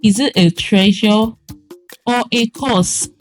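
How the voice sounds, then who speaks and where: A woman with a Nigerian accent tells a folktale as host, in a theatrical, suspenseful way with deliberate phrasing. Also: medium pitch. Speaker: storyteller and host. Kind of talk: dramatic folktale storytelling.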